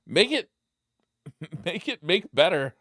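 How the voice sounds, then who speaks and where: A man's voice: fairly high pitch, very animated, takes it slowly, fairly bright tone, dry recording, studio quality. A man co-hosting a casual podcast, reading chat questions aloud and adding wry, laughing asides.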